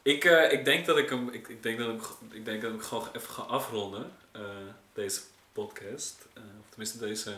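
A man's voice: mid-range voice, normal speed, hardly any pauses, pushed and bright, slight background noise. A man hosting a casual podcast conversation, hesitant and searching for his words. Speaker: podcast host. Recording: echoey room.

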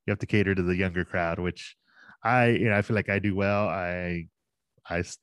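Easygoing low-voiced man in his forties. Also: fairly even intonation, moderate pace, fairly bright tone, clean tone, no echo. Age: 40s. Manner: easygoing, self-deprecating, laughs often. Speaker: league director of operations. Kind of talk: casual podcast interview.

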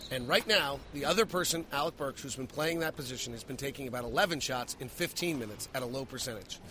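A man's voice: mid-range voice, fast talker, bright, forceful tone, slight background noise. A man hosting a sports post-game podcast, breaking down the night's play as a broadcaster would. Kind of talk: post-game analysis and commentary.

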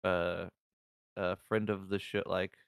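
A young man joins a casual podcast as a guest and cracks deadpan jokes. His voice sits somewhat low, and it is recorded dry and close. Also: takes it slowly, vocal fry, smooth, no echo. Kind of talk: casual chat.

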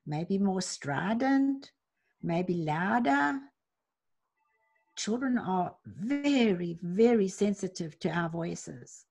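A woman leading parents through a slow, gentle guided meditation, asking soft reflective questions with long pauses. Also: fairly low pitch, creaky, husky. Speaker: parenting coach guiding a reflection exercise.

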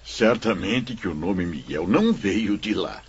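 Kindly, firm priest for a radio play: low husky voice, courteous, muffled sound. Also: male voice, lively intonation, measured pace, heavily acted. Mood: amused, jovial. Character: good-humored, humble priest running a strict spirit ward. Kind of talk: radio drama acting.

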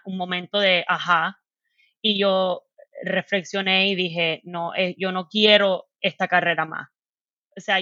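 A young woman from Miami, a native English speaker, answering interview questions haltingly as she searches for words and mixes in English terms. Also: mid-range voice, pushed and bright, no echo, dull audio. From Miami, United States, Cuban family. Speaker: podcast guest.

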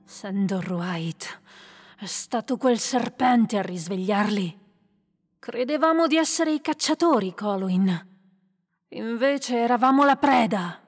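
A woman playing a calm, ruthless sorceress in a radio drama. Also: on the high side, slow, soft background music, full character voice. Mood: urgent. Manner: calm, clinical. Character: female sorceress, cool and ruthless. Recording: long hall reverb.

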